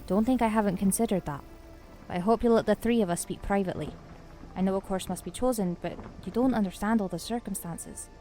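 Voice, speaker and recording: A woman playing a measured, dignified ranger leader who speaks deliberately and formally in a fantasy audio drama. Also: mid-range voice, dark tone, faint music behind, close to an everyday voice. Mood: grave, guarded.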